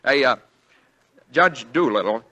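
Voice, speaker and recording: An actor playing an eager, nervous young newlywed lawyer who stammers and placates, in 1940s radio comedy-drama style. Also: male voice, medium pitch, lively intonation, a little slow, bright, forceful tone, poor audio. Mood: nervous, deferential. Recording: 1940s radio broadcast recording.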